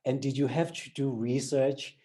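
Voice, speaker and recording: Man, south african accent, interviewer, dark mellow voice, reads then asks questions. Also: medium pitch, flat delivery, moderate pace. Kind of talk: book interview.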